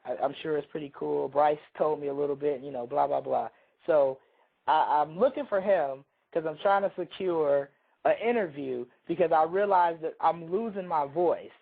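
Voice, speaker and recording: Hoarse guy co-hosting a radio show, rambly storyteller, kinda high voice, tinny phone line sound. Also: moderate pace. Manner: rambling, self-interrupting storyteller. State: hoarse from a cold. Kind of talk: casual chat, storytelling.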